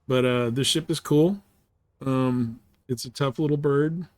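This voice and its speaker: A man's voice: medium pitch, a little slow, darkish tone, dry recording. A man livestreaming a game, rambling casually and slangily as he plays and explains things. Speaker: game streamer. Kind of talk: casual live gameplay chat and commentary.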